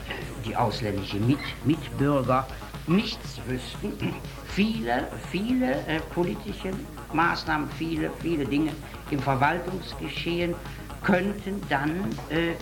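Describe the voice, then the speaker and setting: Male bureaucrat interviewee, heavily hesitant with repeated words, gravelly edge, music underneath. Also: on the high side, rough recording. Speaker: statistics office official being interviewed. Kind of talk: halting interview answer.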